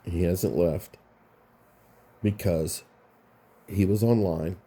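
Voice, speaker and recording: A man's voice: low-pitched, slow, dark tone, husky. A man hosting a casual commentary vlog, sounding congested and rambling, often restarting his phrases. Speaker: YouTube commentary host.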